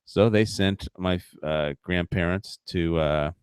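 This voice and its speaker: Low-voiced man, rambling stream host, dry close mic, swears and mocks, lots of uh and you know. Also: a little slow, clear, resonant tone, dry recording. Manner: profane, mocking, rambling with frequent fillers. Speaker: livestream host. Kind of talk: casual rambling commentary.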